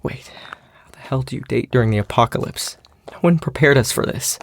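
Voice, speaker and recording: A man's voice: medium pitch, normal speed, creaky, dry recording, in a whisper. An actor playing a shy, awkward young male newcomer in an audio drama, hesitant and rambling. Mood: nervous.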